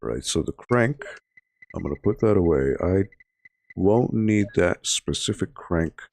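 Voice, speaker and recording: A man in his thirties talks through a video game for his live chat in an easy, chatty way, explaining each move. His voice sits very low with a gravelly edge. Bronchitis has left him hoarse and raspy. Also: a little slow. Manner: relaxed and chatty, explains each step while playing. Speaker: library livestream host. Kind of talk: casual gameplay commentary to a live chat.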